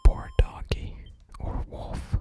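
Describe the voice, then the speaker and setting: A person whispering softly through an ASMR video game playthrough, commenting and reading text aloud. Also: slow. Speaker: ASMR gaming creator. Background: game music.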